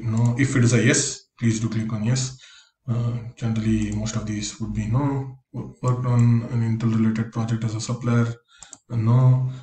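Man with a flat, mellow voice. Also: lowish voice. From India. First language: Konkani. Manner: explains step by step, plain and practical. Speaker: career coach. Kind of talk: screen-recorded tutorial walkthrough. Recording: echoey room with faint hiss.

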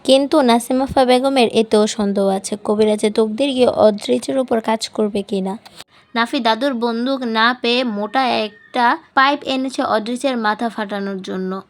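A woman's voice: a little high, normal speed. A woman reading a scripted story as an audiobook narrator. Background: music.

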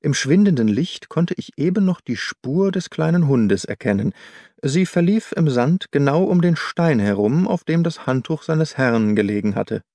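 A man's voice: a little high, animated, normal speed, warm tone, dry recording. Male audiobook narrator, dry close sound. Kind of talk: scripted literary narration. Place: dry studio.

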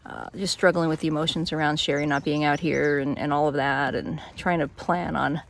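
A woman's voice: deep voice, steady tone, brisk, creaky, a little hiss or hum. A woman in her fifties talking casually to a phone camera on a long-distance hike, chatty with fillers and restarts. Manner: chatty, plain-spoken, many fillers and restarts. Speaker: thru-hiker vlogger. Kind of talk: casual trail vlog to camera. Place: outdoors on a mountain meadow, later inside a small tent. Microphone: phone held at arm's length.

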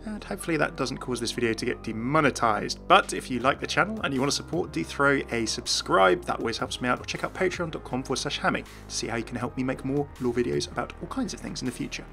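A man's voice: mid-range voice, lively intonation, quick pace, vocal fry, hardly any pauses, sharp tone, faint music behind. A man giving scripted commentary on video game lore as a host.

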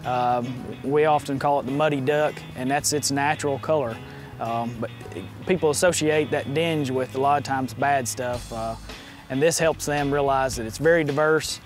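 Game warden, music behind him. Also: male voice, medium pitch, steady tone, moderate pace. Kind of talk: interview talk about outdoor work. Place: outdoors by a parked truck. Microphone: lapel mic.

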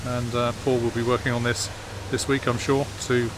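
An Englishman ambles through an outdoor car park, chatting about the vehicles in an easygoing, halting way full of ums and uhs. His voice sits at an ordinary middle pitch. A jet washer and outdoor noise come through clearly behind him on the phone recording. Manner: relaxed, hesitant with many fillers. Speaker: classic car vlogger. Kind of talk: casual walk-around commentary.

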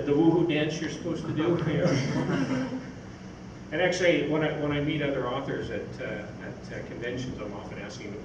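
An older man giving an informal, rambling, anecdotal talk on stage, answering questions from an audience. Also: fairly high pitch. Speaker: speaker, science fiction writer. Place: on stage in an event hall. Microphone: far camera microphone. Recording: echoey hall from far off, noisy.

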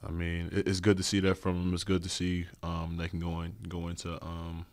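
A young male basketball player answers reporters after a game, his voice deep and low. He talks in an even, nearly flat line, low-key and hesitant, with plenty of "um"s. He sits at a desk mic in a press room. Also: a little fast, dry recording. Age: young adult. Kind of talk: postgame press conference answers. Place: press room with logo backdrop. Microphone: desk mic on stand.

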